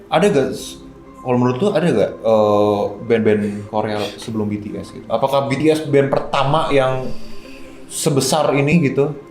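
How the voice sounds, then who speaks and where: A man's voice: medium pitch, moderate pace, a little mellow. A man in his twenties with a Jakartan Indonesian accent, asking and commenting in a casual conversational way as host. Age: 20s. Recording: echoey room with music underneath.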